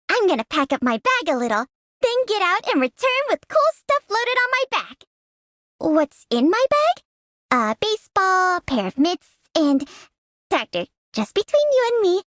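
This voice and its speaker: Very high, animated little girl. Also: dry recording, clean studio sound, heavily acted. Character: playful, mischievous little girl with secret snacks. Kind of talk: game character voice line.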